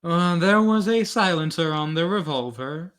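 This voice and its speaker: A man's voice: a little high, animated, normal speed, fairly bright tone. A man acting in an old-time radio drama as a cool, conscientious hired killer, with formal, understated politeness. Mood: dryly proud.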